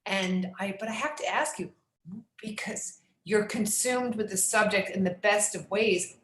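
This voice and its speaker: A woman's voice: medium pitch, fairly bright tone. Effusive, rambling woman in a roomy space. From New York. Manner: effusive, rambling, restarts mid-sentence. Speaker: executive director hosting the Q&A. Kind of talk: casual Q&A questions.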